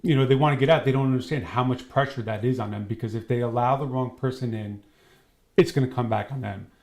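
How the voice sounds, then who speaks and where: A man of medium pitch talks as an interviewed trainer. He explains in a conversational way, often slipping into quoted dialogue to illustrate his points. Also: quick pace, darkish tone.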